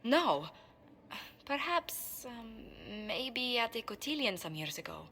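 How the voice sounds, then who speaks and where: Young noblewoman diplomat, very bright tone, proper and precise, game cutscene, faint background noise. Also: on the high side, very animated, slow pace, heavily acted. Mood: distressed, flustered. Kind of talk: video game cutscene acting.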